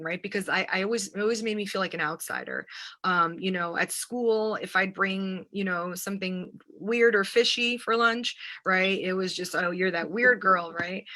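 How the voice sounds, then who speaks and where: A woman's voice: medium pitch, sharp tone. An American woman, an artist, giving reflective interview answers in searching phrases and often checking in with the listener. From United States, New Jersey. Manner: hesitant, searching phrasing, often seeking agreement with "right". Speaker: visual artist and writer, interview guest.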